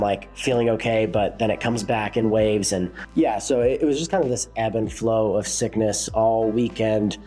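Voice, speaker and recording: Young worship leader, sick and stuffed up, low voice, loose reflective vlog delivery. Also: male voice, darkish tone. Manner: rambling, many fillers and restarts. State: congested, sick.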